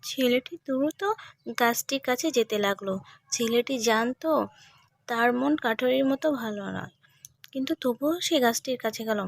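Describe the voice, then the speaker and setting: High-voiced woman narrating a story. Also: normal speed, gravelly, dry recording.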